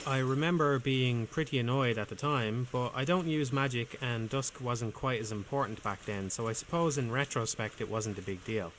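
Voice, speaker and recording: A man reading scripted game commentary in a calm, measured, dryly wry way with a soothing voice. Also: medium pitch, noisy background.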